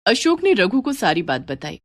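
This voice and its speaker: Woman narrator, medium-pitched storyteller. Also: huge pitch swings, normal speed, dry recording, clean studio sound.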